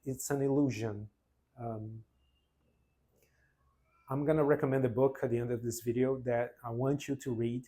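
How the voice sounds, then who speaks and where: Brazilian man in his forties, earnest, halting delivery with restarts, unscripted personal testimony. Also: mid-range voice, fairly even intonation, dark tone. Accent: Brazilian Portuguese. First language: Portuguese. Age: 40s. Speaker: vlogger.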